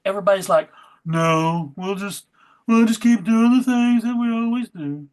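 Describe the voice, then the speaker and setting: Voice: mid-range voice, normal speed, a little mellow. A convention panel member and organizer chatting casually in a group of friends.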